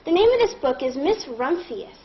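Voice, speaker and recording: High-pitched woman teaching in noisy room. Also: sing-song. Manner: deliberate, instructive, pausing to wonder aloud. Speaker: primary school teacher reading aloud to a class. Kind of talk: interactive read-aloud lesson, thinking aloud. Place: classroom. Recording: muffled classroom recording with clear background noise.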